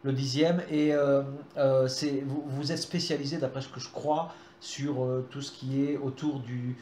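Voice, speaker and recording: A man interviews someone in a casual, polite way, often rephrasing to check he has it right. His voice sits at a middle pitch. The recording is echoey, with faint noise behind him. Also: level tone, measured pace, a little mellow. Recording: roomy echo, faint background noise.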